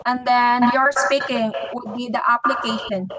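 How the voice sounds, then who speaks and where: Woman teacher, high voice, slow asking style, echoey classroom, noisy. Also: fairly even intonation. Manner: slow, questioning, checks with "okay?". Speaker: English teacher. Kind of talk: teacher training workshop talk. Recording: echoey room with loud noise.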